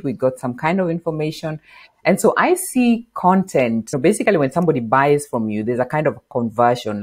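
A middle-aged woman from Kenya, a podcast guest, explaining marketing in an animated interview on a lapel mic in a home office. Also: low voice. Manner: animated, gestures while explaining. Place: bright home office with bookshelf.

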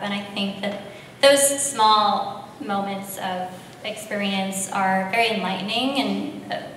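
A woman's voice: medium pitch, a bit bright, a little hiss or hum. A young woman on a panel telling a personal story earnestly with frequent fillers, holding a handheld mic in a large brick-walled gallery. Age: young adult. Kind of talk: panel discussion. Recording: echoey hall from far off.

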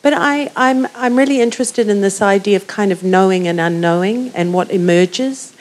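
A woman's voice: mid-range voice, normal speed. A woman with a New Zealand accent, making a long musing comment from the audience with many ums. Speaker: audience member.

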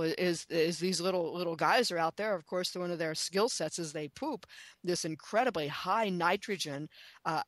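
A woman's voice: on the low side, no echo. Emphatic woman, very dry close sound. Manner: emphatic, repeats phrases, poses questions to the listener. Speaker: guest, author and chicken expert. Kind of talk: enthusiastic interview talk.

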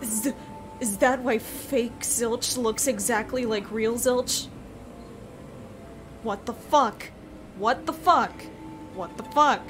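High-voiced, exclamatory woman reacting. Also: takes it slowly, long pauses, background noise. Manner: exclamatory, repetitive, profane. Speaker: game reaction participant. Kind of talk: excitable reaction chat.

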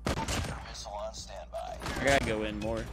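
A man's voice: fairly low pitch, fairly even intonation, extremely slow, very noisy. A man bantering casually as a gaming teammate, slangy, grumbling and skeptical.

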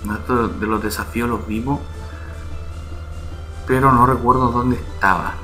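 Bright-voiced man walking through a tutorial. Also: medium pitch, steady tone, unhurried, faint music behind. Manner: explains step by step, often asking "¿ya?" and "¿vale?". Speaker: YouTube channel host. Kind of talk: casual screen-recorded tutorial.

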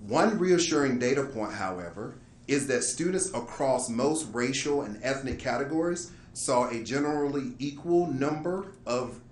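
A man giving a formal, measured presentation as a school administrator, reading carefully from prepared text. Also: medium pitch. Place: indoor meeting room, public board meeting. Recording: roomy echo, light background noise.

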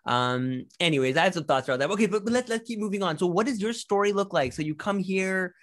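A man's voice: high voice, expressive, fast talker, a bit bright. A man in his thirties, raised in North America, hosting a podcast on a video call, curious and rambling with stammered restarts. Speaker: podcast host. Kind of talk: casual video-call interview. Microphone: studio microphone with headphones.

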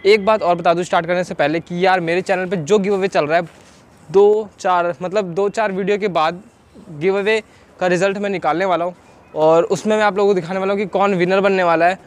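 A man's voice: high voice, steady tone, fairly quick. A man, chatting casually to his viewers as a vlogger. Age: 20s. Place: outdoors, selfie intro and open-air street market. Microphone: handheld camera or phone at arm's length.

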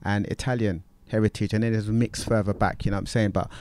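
A man, hosting a podcast in a recording studio, talking in a relaxed, rambling way. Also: on the low side, fast, no echo. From Britain. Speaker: podcast host. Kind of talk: casual conversation.

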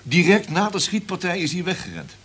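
An actor playing a deferential, defensive newsroom subordinate in a radio drama, explaining himself hesitantly. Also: male voice, sits high, huge pitch swings, fairly quick, a bit bright, breathy edge, exaggerated voice. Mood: agitated.

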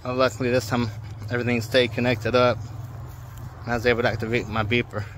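A man's voice: mid-range voice, fast, slight background noise. An older man chatting casually as he walks through an open field at dusk, filming himself with a phone held at arm's length. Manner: relaxed, chatty, colloquial. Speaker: drone hobbyist vlogger. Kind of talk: casual walk-and-talk vlog.

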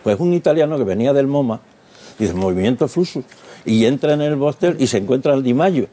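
An older man speaks in a husky, mellow voice on a TV panel. He makes his points emphatically, pressing on. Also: medium pitch, lively intonation, brisk. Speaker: advertising executive guest. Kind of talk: studio panel discussion. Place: TV studio set. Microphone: lapel mic.

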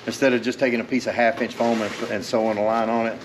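A man's voice: medium pitch, little pitch movement, fast. A man, casually walking someone through his large workshop, rambling and chuckling. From Tennessee, US. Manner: easygoing, rambling, chuckles often. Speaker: custom interior shop owner. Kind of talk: casual shop tour explanation. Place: large indoor garage workshop. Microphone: host's handheld camera. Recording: echoey garage with background noise.

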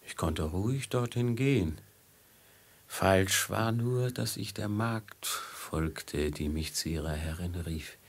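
An actor playing a brooding, fatalistic merchant in a 1950s radio play, with a husky, gravelly voice and halting, weighty delivery. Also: male voice, deep voice, exaggerated voice. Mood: regretful, musing. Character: brooding male merchant from Damascus, dazed and fatalistic.

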